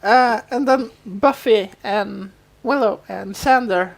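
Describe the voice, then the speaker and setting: A woman co-hosting a podcast, chatting informally about a TV show and explaining things in a halting, conversational way. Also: on the high side, creaky. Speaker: podcast co-host. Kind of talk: casual chat about a TV show.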